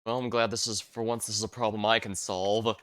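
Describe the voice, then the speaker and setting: Bright-voiced man streaming a game, low pitch. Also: flat delivery, fairly quick, dry recording. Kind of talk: casual livestream game commentary.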